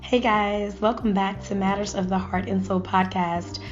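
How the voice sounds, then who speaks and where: A woman hosting a podcast who is a bit hoarse today, talking enthusiastically with some hesitant fillers. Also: mid-range voice, steady tone, normal speed, highs cut off, over music. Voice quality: raspy. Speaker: podcast host.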